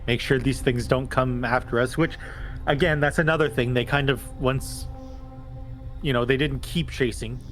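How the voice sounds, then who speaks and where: A man's voice: mid-range voice, fairly even intonation, moderate pace. A man talking casually through tactics and rules as a player in a tabletop roleplaying game. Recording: music playing faintly behind. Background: background music.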